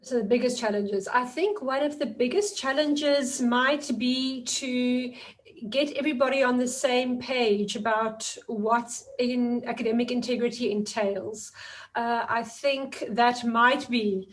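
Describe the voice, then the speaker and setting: A woman in her forties, a guest lecturer speaking in a thoughtful, measured way with some fillers. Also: fairly high pitch, steady tone, rough recording. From South Africa. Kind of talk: webinar discussion.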